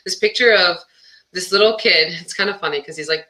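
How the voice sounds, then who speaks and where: Young woman, musician guest, from Albania and Boston area, very bright voice, chatty, laughs often, casual interview. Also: lowish voice, fairly quick, low-quality recording. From born in Albania, raised near Boston. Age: young adult.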